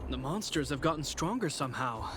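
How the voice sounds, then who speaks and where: Earnest young soldier, fairly high voice. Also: male voice, very animated, a little fast. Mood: urgent. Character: earnest, protective young male soldier, steady older brother. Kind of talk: video game voice acting. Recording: game music behind the voice.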